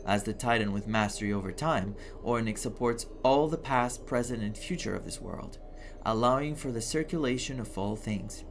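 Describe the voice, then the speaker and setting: A man from Greece doing game playthrough commentary and reading game text aloud in an expressive, enthusiastic manner. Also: medium pitch, normal speed, slight background noise. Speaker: gaming streamer. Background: game music.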